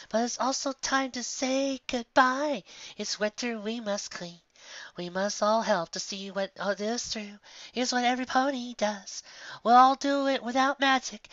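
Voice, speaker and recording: Cheerful schoolmarm pony acted by a woman, storybook singing, raised pitch, held notes, lo-fi sound. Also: a bit bright, dry recording. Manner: sings in slow, held notes. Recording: dull, muffled lo-fi recording.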